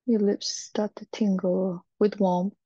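A woman leading a guided meditation in a soft, breathy, soothing voice, with short phrases and long pauses. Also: medium pitch, little pitch movement, no echo. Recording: dull, muffled, dry recording.

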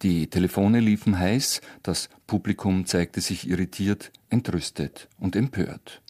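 A man's voice: low-pitched, unhurried, darkish tone, breathy edge. A man presenting on radio in scripted narration.

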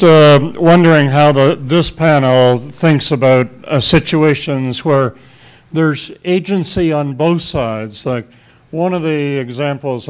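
A man's voice: medium pitch, clear, resonant tone. A man from Canada in a conference audience, asking a rambling, hedging question. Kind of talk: question from the floor.

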